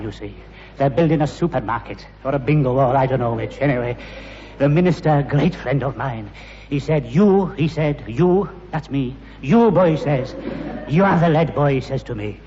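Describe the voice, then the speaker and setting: A man's voice: mid-range voice, normal speed, mellow, close to an everyday voice. An actor playing a hesitant, obsequious, pious man selling dodgy goods in a British sitcom, often repeating himself. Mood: smooth-talking, pious. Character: shifty, ingratiating chapel-going man selling dodgy goods. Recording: muffled, noisy old sitcom recording, reverberant.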